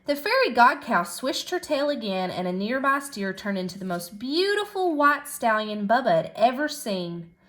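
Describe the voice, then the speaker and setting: A woman reading a children's story aloud in an expressive schoolteacher style. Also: a little high, moderate pace, hardly any pauses. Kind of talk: animated children's storybook narration.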